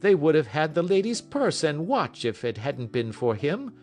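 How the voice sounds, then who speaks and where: A man voicing excitable, common street onlookers in an audiobook, earnest and exclamatory. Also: on the high side, normal speed, soft background music, voice pushed up. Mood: earnest, agitated.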